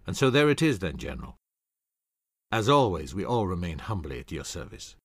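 A man's voice: low-pitched, sing-song, a little fast, husky, no echo, studio quality, pitched below the performer's own voice, heavily acted. A man voicing a deferential male officer pledging service. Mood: humble.

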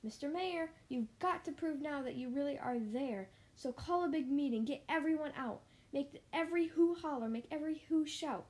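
A woman's voice: sits high, moderate pace, warm tone, lightly acted. A woman voicing a kindly, loyal male elephant who speaks earnestly and emphatically in storybook rhyme. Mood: alarmed, urgent. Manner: earnest, urgent, pleading, emphatic.

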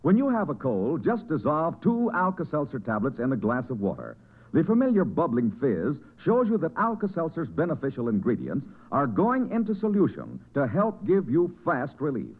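Male vintage radio pitchman, very animated intonation, narrow phone-like sound. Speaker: commercial announcer. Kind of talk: old-time radio advertising read. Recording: tinny vintage radio recording.

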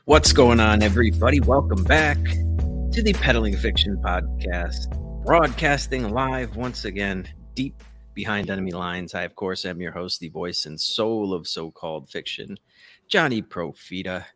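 A man's voice: fairly low pitch, a little slow, fairly bright tone, soft background music. A man hosting a podcast with casual, opinionated and sardonic commentary, swearing now and then. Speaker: podcast host. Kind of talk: casual talk show commentary.

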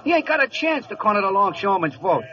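An actor playing a dim, excitable henchman loyal to his boss in a 1940s radio comedy, with slangy, ungrammatical talk. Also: high-pitched, fast talker, full character voice. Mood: grumbling. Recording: muffled, noisy old radio broadcast.

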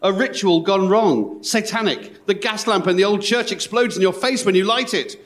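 A man's voice: high voice, normal speed. A man reading short poetic descriptions dramatically at a live event.

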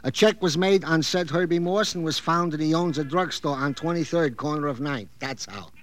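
An actor playing a fussy, pompous police sergeant in an old radio crime drama, with stilted, officious phrasing. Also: male voice, a little high, moderate pace, close to an everyday voice. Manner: stilted, over-formal, officious.

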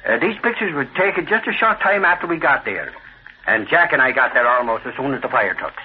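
A man playing an excitable, folksy sidekick in an old-time radio crime drama, eager and colloquial with plenty of exclamation. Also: mid-range voice, brisk, a little hiss or hum, lightly acted. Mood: eager, surprised. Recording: muffled vintage radio recording.

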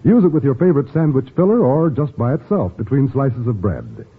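A man with a husky voice reading a persuasive, savoring 1950s radio commercial. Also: medium pitch, big pitch swings, fairly quick. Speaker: commercial announcer. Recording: tinny old radio recording.

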